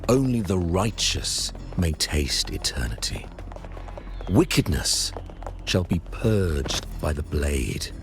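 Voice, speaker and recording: An actor playing a solemn, menacing, self-righteous priest in a video game, with grandiose preachy declamation. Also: male voice, a bass voice, heavily acted. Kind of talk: theatrical video game voice acting.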